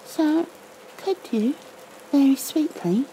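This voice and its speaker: Woman voicing a sweet, high-pitched little boy, curious. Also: animated, slow pace, mellow, a little hiss or hum, low-quality recording. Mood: sweetly pleading. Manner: earnest, pleading questions. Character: young boy Christopher Robin, sweet, curious, eager. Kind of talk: storybook read-aloud.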